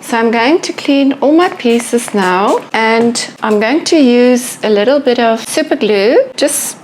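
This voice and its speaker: A middle-aged woman from South Africa, whose first language is Afrikaans, speaking with a South African accent as she calmly explains each step of a fused glass project. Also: fairly high pitch, unhurried, slight background noise, rough recording. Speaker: glass artist demonstrating a craft. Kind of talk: crafting tutorial voice-over and to-camera talk. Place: home room with a window. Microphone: lapel mic.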